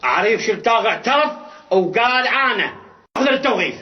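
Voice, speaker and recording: A man's voice: sits high, lively intonation, fairly quick. Man as brusque cartoon cop, Iraqi accent, curt comic delivery, slightly lo-fi sound. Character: brusque comic police officer making an arrest. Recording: dull lo-fi sound.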